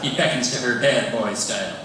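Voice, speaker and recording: A man's voice: mid-range voice, brisk, a crowd in the background. A man reading scripted stage directions as narrator at a live table read. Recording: echoey hall, distant mic, noisy. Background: room ambience and noise.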